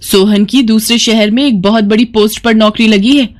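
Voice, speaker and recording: A woman's voice: fairly high pitch, fairly even intonation, moderate pace, natural voice. A woman voicing a scheming, manipulative sister-in-law in a cartoon story, sly and persuasive. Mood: falsely sweet. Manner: sly, persuasive, put-upon. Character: scheming, manipulative sister-in-law who wheedles her husband.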